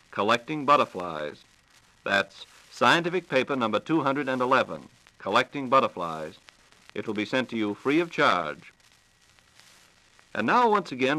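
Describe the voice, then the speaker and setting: A male host from 1940s radio, heard on a vintage broadcast recording. His mid-pitched voice is bright and crisp-edged. He addresses his listeners and co-presenter with polished, courteous formality. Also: rough recording. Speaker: radio program host and announcer. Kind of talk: 1940s radio announcing and interviewing.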